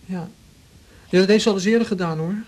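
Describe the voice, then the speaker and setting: An actor playing a shy, troubled young man, speaking haltingly in a naturalistic radio drama. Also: high voice, a little mellow, rough recording. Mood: embarrassed, aggrieved. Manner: hesitant, halting. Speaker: patient in a radio serial.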